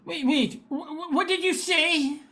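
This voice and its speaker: A gentle, gullible middle-aged man acted by an older woman in an amateur radio play. The voice sits fairly high and moves very slowly. He stammers and hesitates as if he has lost his train of thought. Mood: confused, hesitant. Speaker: amateur radio drama actor.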